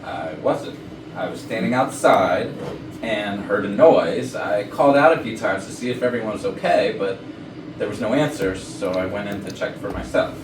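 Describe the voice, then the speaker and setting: A man's voice: lowish voice, animated, normal speed, natural voice. A man playing a defensive, smug corporate IT executive in a staged reading of a comic murder mystery. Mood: earnest, uneasy. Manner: defensive, indignant. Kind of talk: staged reading of a comedy play. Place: echoey rehearsal room. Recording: roomy, distant, noisy rehearsal recording.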